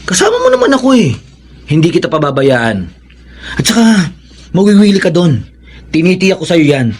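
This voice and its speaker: A man's voice: sits high, huge pitch swings, moderate pace, husky, heavily acted. An actor playing an upbeat, coaxing older male cousin in a Filipino radio drama, reassuring and friendly. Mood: eager, coaxing.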